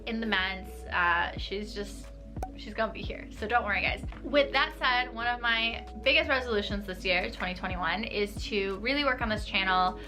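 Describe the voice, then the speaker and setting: A woman's voice: medium pitch, normal speed, over music. Chatty young New woman vlogging. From New York. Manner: chatty, uses "like" a lot, laughs lightly. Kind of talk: casual talk to camera. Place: small bedroom with desk and tapestry, indoors.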